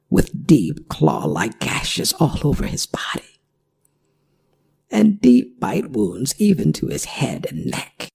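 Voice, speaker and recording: A performer voicing a worried, gentle older werewolf mother in an ASMR audio drama, speaking in halting, pleading phrases. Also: mid-range voice, vocal fry, breathy edge, full character voice.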